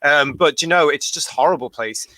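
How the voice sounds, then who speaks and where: A man's voice: on the high side, brisk, sharp tone, no room sound, poor audio. A man talking bluntly and with some grumbling in a live fan podcast discussion.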